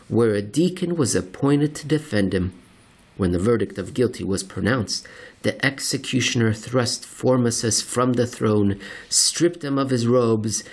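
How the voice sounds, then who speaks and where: A man narrating a written essay aloud in a deliberate, theatrical way with pointed emphasis. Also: medium pitch, breathy edge. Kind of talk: scripted narration, dramatic essay reading.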